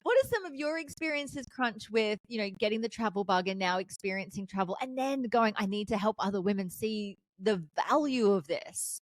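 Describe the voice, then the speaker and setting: A woman's voice: mid-range voice, moderate pace, hardly any pauses, dry recording. A woman hosting a podcast, talking enthusiastically and agreeing eagerly with her guest. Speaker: podcast host. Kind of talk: casual interview chat.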